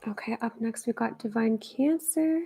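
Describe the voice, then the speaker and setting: A woman's voice: fairly high pitch, moderate pace, gravelly, warm tone, a little hiss or hum. A woman doing a live tarot reading in a chatty, think-aloud way, with many fillers and some whispered asides. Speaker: tarot reader.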